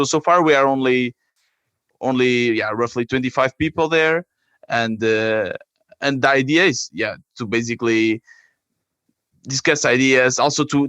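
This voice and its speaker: A man from Portugal with a Portuguese accent, explaining his work haltingly as a guest in a conversational interview. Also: mid-range voice, a bit bright, no room sound. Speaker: app creator, podcast guest.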